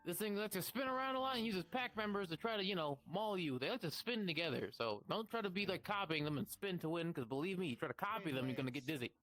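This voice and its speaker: A man's voice: sits high, animated, quick pace, few pauses, rough recording. A man leading an improvised roleplay mission briefing as game master, informal and sweary, putting questions to the group. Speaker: game master playing a guild quest giver. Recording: loud background music. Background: music.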